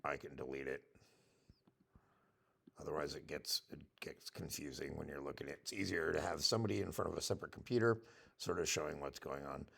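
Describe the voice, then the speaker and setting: A man with a gravelly, husky voice, casually walking through a software demo and thinking aloud as he goes. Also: a bass voice, fairly quick. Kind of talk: casual screen-share product walkthrough.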